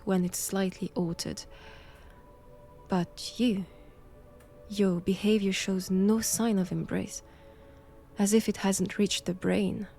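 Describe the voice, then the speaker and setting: A woman performs a stern nun who hunts vampires, in a dramatic roleplay audio. Her French accent shapes slow, deliberate sentences at a medium female pitch. She is formal, cold and commanding, sure of her own righteousness. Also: vocal fry, a little mellow. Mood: clinical, measured.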